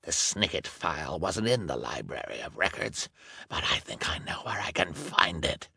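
A man's voice: low-pitched, very animated, moderate pace, creaky, sharp tone, breathy edge, no echo, studio quality, exaggerated voice. A man voicing a villainous male ringleader in an audiobook, snarling, impatient and contemptuous as he gives orders. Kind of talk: audiobook character acting.

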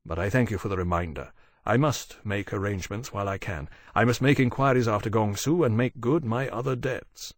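A man voicing a weary, restrained gentleman officer with formal, courteous manners in an audiobook. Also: lowish voice, no room sound, close to an everyday voice. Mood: resigned. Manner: formal, measured, reserved.

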